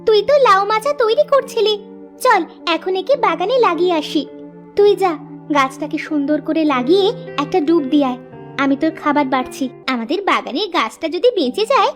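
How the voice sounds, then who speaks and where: A woman acting as a young village girl in a cartoon fable. Her voice is extremely high and childlike, sweet and earnest. She comes across as dutiful and hardworking, with light music underneath. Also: lively intonation, normal speed. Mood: excited, affectionate. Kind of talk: cartoon moral story voice acting.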